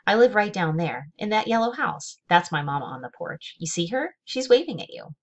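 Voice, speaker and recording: A woman's voice: on the low side, big pitch swings, brisk. Woman playing an eager, inquisitive little girl. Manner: blunt, folksy childish phrasing.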